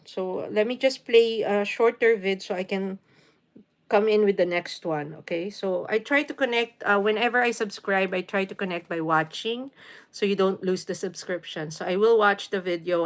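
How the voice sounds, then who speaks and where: A woman's voice: medium pitch, moderate pace. A woman from the Philippines in her forties hosting a casual live stream, chatting with viewers, greeting them by name and using many fillers. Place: home in New York City.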